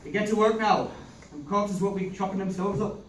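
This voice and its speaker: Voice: medium pitch, a little fast, pitched above the performer's own voice, close to an everyday voice. A performer voicing a playful, teasing young Irish man in a put-on Irish accent, in an amateur play reading. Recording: distant roomy recording with background noise.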